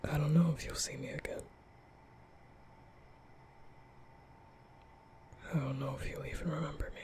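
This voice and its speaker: A man playing a nervous, gentle young vampire in a whispered ASMR roleplay, soft and breathy, speaking in a tender, hesitant, apologetic way. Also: fairly high pitch, fairly even intonation, very fast, a little hiss or hum, close to an everyday voice. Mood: tender concern. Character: nervous gentle young male vampire comforting a sick human.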